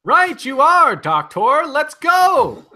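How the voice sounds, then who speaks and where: A woman's voice: high voice, sing-song, takes it slowly, sharp tone, a little hiss or hum, exaggerated voice. A woman playing a cheerful, eager announcer in an old-time radio show. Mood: cheery, eager.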